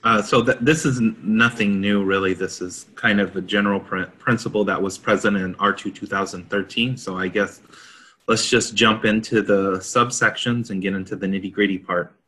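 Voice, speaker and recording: A man with a fairly low-pitched but bright, forceful voice explains technical requirements as a consultant on a panel. His phrasing is informal and conversational, working through points as he discusses them. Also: fairly even intonation, normal speed.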